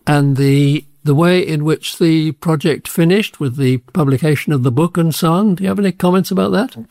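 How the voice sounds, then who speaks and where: A man with a slightly high voice interviews a guest. He hesitates and restarts his questions. The recording is dry and close. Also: moderate pace, darkish tone, no echo. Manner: hesitant, stumbling over questions. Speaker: community radio interviewer.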